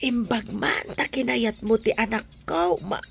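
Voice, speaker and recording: An actress playing a humble, grateful older mother in a radio drama, deferential and effusive, with a creaky, husky voice. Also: on the high side, a little slow, exaggerated voice. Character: humble, grateful poor elderly mother of the groom.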